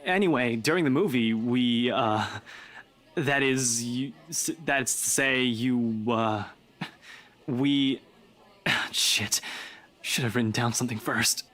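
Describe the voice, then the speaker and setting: An actor playing an awkward, apologetic young man in a visual novel, speaking haltingly and stumbling over words. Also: medium pitch, a bit bright. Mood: flustered, hesitant. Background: game music.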